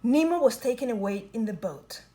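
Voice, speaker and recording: Woman narrator for a children's storybook read-aloud; unhurried, with a bright, higher voice and a lively, swooping sing-song lilt. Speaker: storybook reader. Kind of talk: reading a children's picture book aloud.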